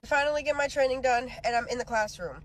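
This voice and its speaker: A woman's voice: sits high, lively intonation, quick pace, slight background noise. A woman with a chest cold venting about being fired in a rambling, aggrieved way. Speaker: social media poster. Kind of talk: venting clip to camera.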